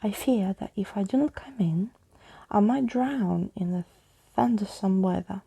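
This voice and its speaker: A woman playing a grandiose, courtly old male skeleton who tells tall tales in a florid, breathy storybook manner. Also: medium pitch, warm tone, full character voice. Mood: polite, reassuring. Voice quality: breathy, husky. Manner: theatrical, florid, slow.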